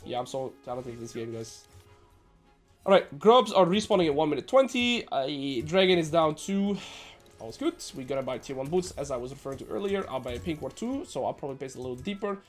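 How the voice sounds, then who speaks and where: A man from the Netherlands, sounding nasal and a little sick, giving brisk gameplay commentary as a coach with joking asides. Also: fairly high pitch, sing-song, soft background music. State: slightly sick. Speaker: game coach.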